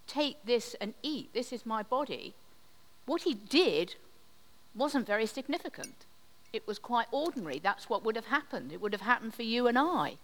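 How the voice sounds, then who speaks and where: Slow gentle lady preacher, communion talk, kinda higher voice, long echo like a church hall. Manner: slow, gentle, reflective delivery with pauses. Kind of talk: sermon.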